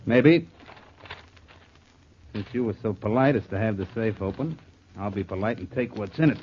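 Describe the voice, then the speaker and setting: Man acting mock-polite low-voiced American gangster gunman. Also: lively intonation, normal speed, close to an everyday voice. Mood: smug, polite. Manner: mock-polite, flowery vocabulary in rough street grammar. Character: polite, apologetic gangster gunman with pompous malapropisms. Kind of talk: 1940s radio crime drama acting. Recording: muffled, noisy 1940s radio recording.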